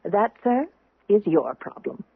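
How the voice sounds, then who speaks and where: An actress playing an earnest, firm housekeeper, prim and insistent, in an old-time radio drama. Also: female voice, medium pitch, sing-song, measured pace, gravelly, husky, heavily acted. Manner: prim, insistent, searching for words. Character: earnest, firm housekeeper, a woman with a nagging hunch. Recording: thin, narrow-band old radio broadcast.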